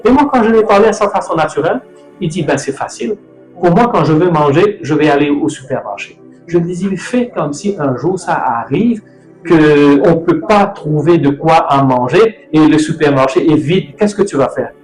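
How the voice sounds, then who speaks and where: A man's voice: a little high, lively intonation, normal speed. Rambling middle-aged man in an echoey room. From Mauritius. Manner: rambling, loose, self-correcting. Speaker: host. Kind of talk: casual talk on nutrition. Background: soft music.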